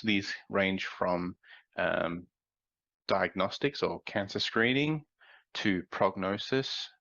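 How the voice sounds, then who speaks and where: A man presenting a scientific conference talk, sounding nasal from a cold, explaining in a measured way with frequent ums. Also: sits low, vocal fry, fairly bright tone, dry recording, highs cut off.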